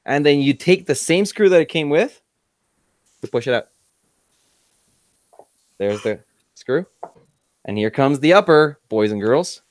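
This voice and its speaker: A young man, excitedly demonstrating a rifle on a video call from his workshop, full of fillers and restarts. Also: on the high side, measured pace, pauses often, dry recording. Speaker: panelist showing a rifle. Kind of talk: casual chat, show-and-tell demo. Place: cluttered workshop indoors. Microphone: headset, video call.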